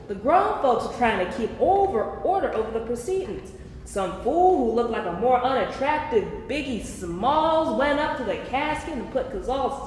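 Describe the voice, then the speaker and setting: A woman's voice: a little high, natural voice. A woman performing a brash, foul-mouthed comic friend telling family stories in a live staged play reading. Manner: theatrical, exclamatory comic storytelling. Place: live stage in a reverberant hall. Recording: echoey hall, distant ambience, faint noise.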